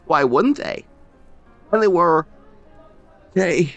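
A man voicing a grieving older rural man who talks in halting, choked bursts and breaks off mid-sentence. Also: fairly high pitch, animated, fairly bright tone, faint music behind, pitched above the performer's own voice, exaggerated voice. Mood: grief-stricken.

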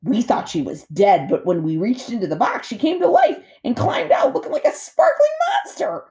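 A woman's voice: medium pitch, wildly swooping pitch, moderate pace, warm tone, pitched above the performer's own voice. A woman voicing a big friendly female otter in a storybook, breezy, casual and sociable. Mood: chatty, apologetic.

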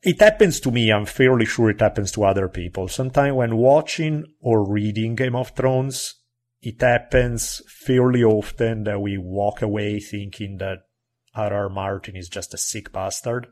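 Italian male podcast host, lowish voice, chatty and expressive. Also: moderate pace. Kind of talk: casual podcast chat.

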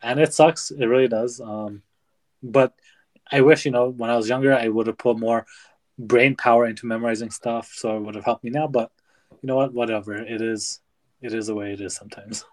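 A young man co-hosts a podcast and chats casually. His voice sits at an ordinary middle pitch. He talks in quick bursts with plenty of fillers. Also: steady tone, darkish tone. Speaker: podcast co-host. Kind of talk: casual chat.

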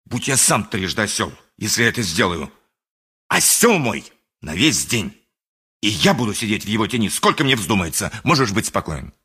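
A haughty, easily exasperated town dentist in a radio play. The voice sits fairly low and moves in a lively, dramatic way. He tells his story with emphatic self-importance. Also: normal speed, breathy edge, exaggerated voice. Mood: indignant. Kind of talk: theatrical 1980s radio play narration and dialogue.

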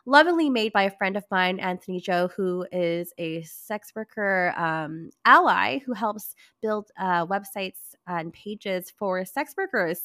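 A woman hosting a casual podcast, her voice hoarse and raspy from a cold, bubbly and gushing as she talks. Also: medium pitch, a bit bright, no echo, clean studio sound. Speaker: podcast host.

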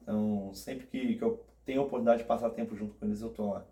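A young man with a Carioca accent chats easily as a podcast guest. His voice sits fairly low. He rambles through fillers and restarts. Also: fairly even intonation, fast talker, warm tone, roomy sound. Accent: Carioca Brazilian Portuguese. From Rio de Janeiro state, Brazil. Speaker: podcast guest, theology graduate. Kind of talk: casual chat.

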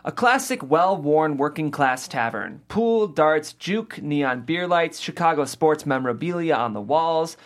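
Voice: mid-range voice, animated, unhurried, few pauses. A narrator reading scripted stage directions at a comedy table read.

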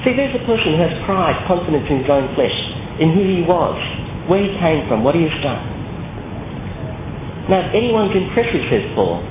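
A man's voice: a little high, sing-song, moderate pace. A man preaching an expository sermon, emphatic and repeating phrases for effect. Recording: narrow phone-like sound, echoey room, loud noise.

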